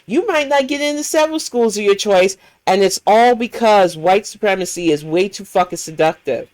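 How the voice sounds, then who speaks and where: A woman's voice: medium pitch, lively intonation, moderate pace, a little mellow, no echo. An American woman hosting a livestream, reacting to clips in a blunt, profane, heated rant. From United States. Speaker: livestream host.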